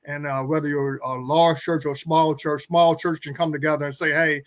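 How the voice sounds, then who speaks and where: A middle-aged man with a fairly high voice talks earnestly in a talk-show conversation. He often doubles back on words as he works toward his point. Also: little pitch movement, normal speed. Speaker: guest pastor and co-host.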